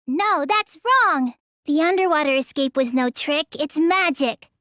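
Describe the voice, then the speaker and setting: A woman's voice: high voice, lively intonation, a little slow, bright, forceful tone, no echo, studio quality, exaggerated voice. A woman voicing a sluggish, stubborn, gullible teenage girl magician in an English video game dub, with a lazy, childish drawl that turns whiny. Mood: flustered, insistent.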